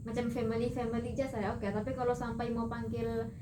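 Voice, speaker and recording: A woman's voice: on the high side, brisk. A young woman, talking hesitantly as a counseling client, full of fillers and small restarts. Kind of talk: casual spoken recollection. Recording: roomy echo with steady background noise.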